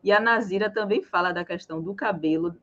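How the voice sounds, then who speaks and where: A woman's voice: medium pitch, fairly quick, a bit bright, highs cut off. A woman with a Bahian Brazilian accent presenting live news in an informal, chatty way as a co-host.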